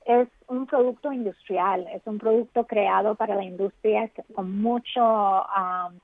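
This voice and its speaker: A woman's voice: mid-range voice, fairly even intonation, unhurried, dry recording. A woman professor interviewed by phone, explaining patiently and sometimes searching for words. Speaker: guest expert, professor. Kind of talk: radio interview over the phone. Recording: tinny phone line.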